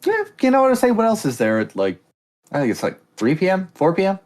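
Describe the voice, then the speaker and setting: Man, quiz host, animated pitch, jokey, wanders off topic. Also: fast. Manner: joking, reads statements aloud, tangents. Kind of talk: casual comedy podcast quiz.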